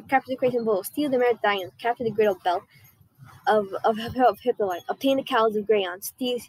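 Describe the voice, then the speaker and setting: A young girl acts as an indignant goddess queen in a school monologue. The voice is high and husky. She speaks emphatically but haltingly, repeating phrases as she tells of her vengeful life. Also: darkish tone, rough recording. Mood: commanding, halting. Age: child or young teen student. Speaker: student performer. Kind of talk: costumed first-person school monologue. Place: outdoors under a wooden canopy.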